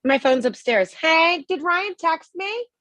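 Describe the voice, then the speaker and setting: A woman's voice: extremely high pitch, expressive, slow pace, fairly bright tone, exaggerated voice. A woman playing a nagging, pushy sixty-year-old mother in a screenplay table read. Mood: needling.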